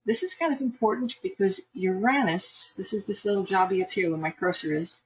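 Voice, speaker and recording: A woman giving live psychic astrology readings online, chatty and quick to laugh, with frequent restarts. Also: medium pitch, breathy edge, poor audio.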